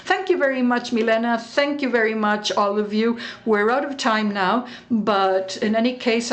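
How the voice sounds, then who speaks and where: A woman wrapping up a conference session and introducing the next speakers, speaking informally with frequent restarts. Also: mid-range voice.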